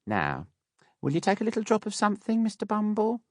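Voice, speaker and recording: A man's voice: sits high, huge pitch swings, brisk, darkish tone, no room sound, studio quality, voice pushed up. A man voicing a fawning, two-faced workhouse matron with sugary, wheedling, uneducated speech in an audiobook. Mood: coaxing.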